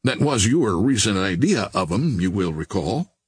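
A man voicing a lovesick, bantering young gentleman in an audiobook, earnest and teasing. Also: on the low side, sing-song, moderate pace, husky, no echo, full character voice.

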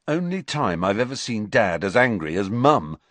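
A man's voice: fairly low pitch, sing-song, moderate pace, a bit bright, dry recording. Guy doing a grumpy naive teen boy, blunt, storybook character, very up and down, dry sound. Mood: earnest. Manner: blunt, grumbling. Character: grumpy, naive teenage boy wizard, easily needled.

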